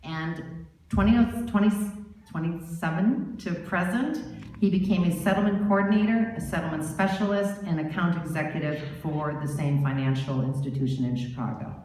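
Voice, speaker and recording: A woman's voice: deep voice, normal speed, a little mellow. A woman giving a scripted speech as an award presenter, introducing an honoree. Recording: very echoey, distant, faint noise.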